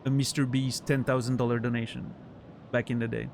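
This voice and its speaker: A man's voice: medium pitch, little pitch movement, brisk, vocal fry, a little hiss or hum. A man from Switzerland with a Swiss French accent, a native French speaker chatting casually with viewers while streaming a game, often restarting his sentences. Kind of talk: casual chat while gaming.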